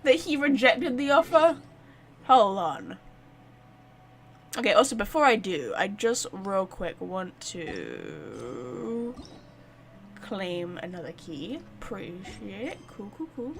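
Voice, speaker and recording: A woman chatting casually and excitably as she livestreams a game, rambling to her viewers. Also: mid-range voice, takes it slowly, creaky, pauses often, faint music behind. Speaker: live streamer. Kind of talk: casual game stream chat.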